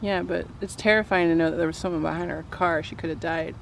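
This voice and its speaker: A woman's voice: fairly low pitch, steady tone, fast talker, gravelly, a little hiss or hum, rough recording. A woman chatting casually as a companion reacting to stories. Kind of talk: casual chat.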